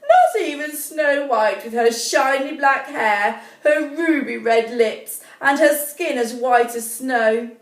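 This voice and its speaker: A woman's voice: high-pitched, expressive, slow, few pauses, voice pushed up, exaggerated voice. A woman voicing a vain, haughty wicked queen who feigns innocence in a theatrical way, for a children's story. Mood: mock innocent. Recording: roomy, distant microphone.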